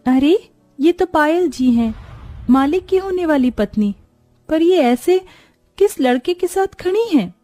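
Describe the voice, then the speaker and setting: A woman's voice: sits high, lively intonation, a little slow, mellow, dry recording, soft background music, pitched above the performer's own voice. A woman voicing a loyal, anxious young servant woman in a moral story, earnest and deferential. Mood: shocked, worried.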